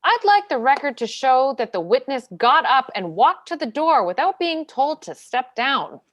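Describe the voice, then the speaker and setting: Woman acting a needling defense lawyer, lively pitch. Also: normal speed, muffled recording. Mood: defiant. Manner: pointed, insistent questioning. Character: dogged, needling military defense lawyer cross-examining a witness. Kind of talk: dramatic script reading.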